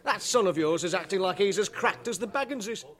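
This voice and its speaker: An actor playing a mocking, sceptical village local in a radio drama, teasing in a rustic manner. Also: male voice, high voice, expressive, a little fast, fairly bright tone.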